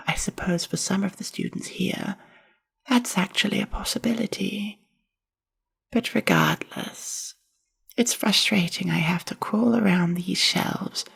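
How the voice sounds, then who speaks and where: A woman plays a reclusive spider-woman librarian in a soft ASMR roleplay. Her voice is very breathy and husky, full of heavy creak, and she speaks slowly. The delivery is awkward and dry, with a quiet grumble to it. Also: mid-range voice, heavily acted. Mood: grumbling.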